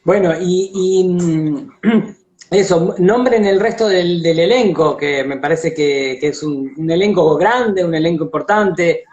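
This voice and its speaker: A man's voice: high-pitched, takes it slowly, a little mellow, slight background noise. A man hosting a casual live interview, heard over a livestream call. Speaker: host, interviewer. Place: remote live video chat. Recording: dull, roomy livestream call audio.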